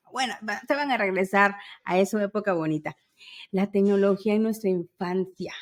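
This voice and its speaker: A woman's voice: medium pitch, a little mellow. Enthusiastic woman in her fifties, chatting nostalgically. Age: 50s. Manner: enthusiastic, storytelling with spoken sound imitations. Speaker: podcast host. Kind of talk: casual nostalgic chat.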